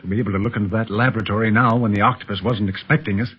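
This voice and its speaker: American adventure-serial agent, very husky and rough, authoritative, protective, muffled old 1930s radio recording. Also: male voice, fairly low pitch, steady tone, racing. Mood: measured, cautious. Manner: earnest, authoritative, explanatory. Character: calm, protective adult secret police agent in danger.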